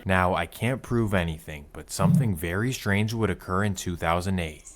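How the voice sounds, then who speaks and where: A man narrating a documentary from a script, measured and dramatic. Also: low-pitched. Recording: narration over loud music.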